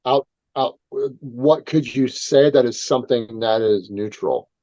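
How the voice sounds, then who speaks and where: A man's voice: mid-range voice, a little slow, mellow, dry recording. Earnest man on a dull, dry line. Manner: earnest, explains with hypothetical quotes. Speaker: self-defense instructor guest. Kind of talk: casual podcast conversation.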